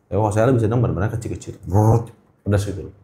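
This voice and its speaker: Middle-aged Jakarta man, relaxed dark voice, colloquial podcast guest who repeats himself for emphasis, roomy echo. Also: fairly low pitch, quick pace. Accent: Jakarta Indonesian. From Indonesia. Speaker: guest, gemstone trader. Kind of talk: casual interview chat. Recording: echoey room.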